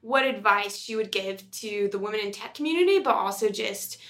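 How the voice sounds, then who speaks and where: Woman, bright forward voice, medium pitch, affirming, conversational, podcast co-host. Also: animated, fairly quick. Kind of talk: casual podcast discussion.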